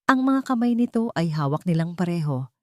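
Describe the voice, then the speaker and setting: Low-voiced woman narrating a love story. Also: big pitch swings, brisk, dry recording, studio quality. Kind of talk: scripted romantic story narration.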